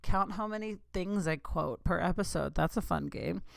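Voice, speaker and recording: A woman in her thirties talks through a casual solo podcast, rambling and giggling her way through asides. Her voice sits a little low for a woman. The sound is close and dry, with no room echo. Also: normal speed, vocal fry. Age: 30s. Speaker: podcast host.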